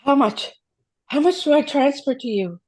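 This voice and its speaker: A woman's voice: high-pitched, expressive, normal speed, darkish tone, slight background noise. A woman playing a frightened, desperate mother in a script read, hesitant and stammering. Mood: fearful, hushed. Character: frightened, desperate mother under a kidnapper's orders. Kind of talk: dramatic script table read.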